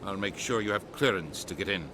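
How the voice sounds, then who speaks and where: An actor playing a stern, indignant middle-aged ambassador in a video game, curt and authoritative. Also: male voice, deep voice, expressive, fairly quick, sharp tone, a little hiss or hum.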